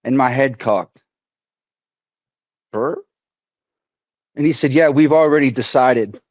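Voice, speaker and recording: Man, veteran, halting storyteller, muffled dry call audio. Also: mid-range voice, no echo. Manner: halting, restarts often, quotes others. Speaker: veteran speaking at a community council. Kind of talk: personal story told to an online forum.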